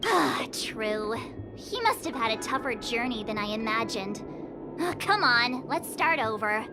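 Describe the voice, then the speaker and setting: A young woman voicing a playful, eager detective heroine in a game dub, bouncy and animated. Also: on the high side, measured pace. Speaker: player character. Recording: game dub over music.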